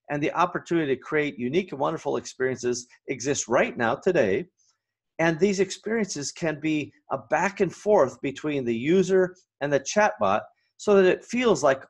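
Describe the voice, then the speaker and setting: A man in his forties hosting a solo business podcast from a home office, his voice hoarse and raspy, talking with energy and going off on rants. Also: mid-range voice. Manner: energetic, ranting, fillers and restarts. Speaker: podcast host.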